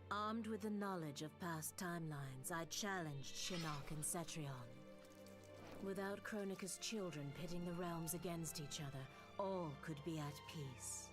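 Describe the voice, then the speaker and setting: An actress playing a regal, serene warrior goddess, narrating formally in a game cinematic. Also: female voice, fairly low pitch, unhurried, over music, natural voice. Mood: proud, serene. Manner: formal, stately.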